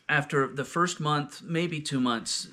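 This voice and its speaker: Bright-voiced man, halting casual talker. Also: fairly high pitch. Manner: halting, searches for words, trails off and restarts. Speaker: host of a scripture reading discussion. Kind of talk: casual unscripted discussion.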